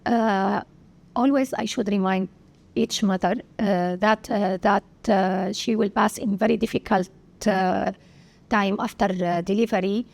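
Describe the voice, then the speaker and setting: A woman from Syria with an Arabic accent, a pediatrician answering interview questions haltingly, with many pauses and filler sounds. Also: mid-range voice, fairly even intonation, gravelly, a little mellow. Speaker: pediatrician guest.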